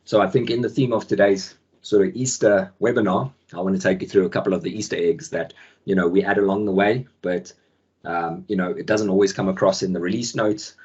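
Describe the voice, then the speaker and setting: A man with a deep, husky voice, croaky from the flu, hosts a product webinar over a video call. He talks casually, full of ums and filler. The call audio sounds muffled. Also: brisk. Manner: relaxed, many ums, friendly filler words. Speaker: webinar host and product manager. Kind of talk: casual software webinar presentation. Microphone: video call microphone.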